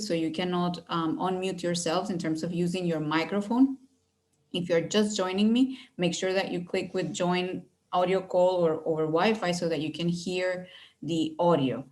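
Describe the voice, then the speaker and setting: A middle-aged woman from Venezuela gives an online presentation over a video call, speaking in a fairly low voice. She sounds friendly and measured, with frequent fillers as she talks. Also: a little mellow. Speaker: university recruitment director.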